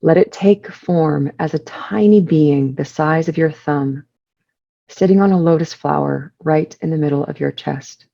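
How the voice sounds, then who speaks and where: A woman leading a guided meditation, giving soothing instructions in measured short phrases with pauses between them. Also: deep voice, gravelly, mellow, muffled recording.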